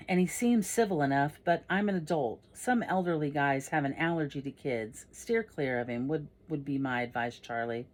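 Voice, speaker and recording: Woman reading a level-headed father, low voice. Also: natural voice. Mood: calm, cautioning. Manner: measured, plain-spoken. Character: level-headed middle-aged father giving his child advice. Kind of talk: character dialogue in audiobook.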